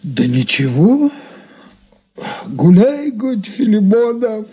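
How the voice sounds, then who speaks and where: An actor playing a humble, folksy shop servant who rambles deferentially in a radio drama. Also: male voice, high-pitched, very animated, slow pace, dark tone, slightly rough, exaggerated voice. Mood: chatty, folksy. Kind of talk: 1980s Soviet radio drama acting.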